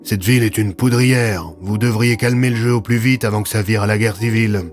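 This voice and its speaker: A man's voice: lowish voice, fairly even intonation, fairly quick, soft background music, natural voice. A man playing a cautious, candid hospital doctor in an audio drama. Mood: concerned.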